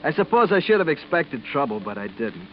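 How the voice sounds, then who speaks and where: A man's voice: a little high, big pitch swings, brisk, slight background noise. An actor playing a weary, resigned expatriate man telling his island story in a 1940s radio drama. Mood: reflective. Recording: muffled old radio recording with music.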